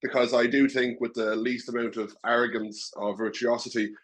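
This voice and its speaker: A man's voice: mid-range voice, level tone, normal speed, a bit bright. A young man with a Dublin Irish accent, speaking earnestly as a current student in a webinar. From Ireland. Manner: earnest, wordy, self-deprecating. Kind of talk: webinar speech.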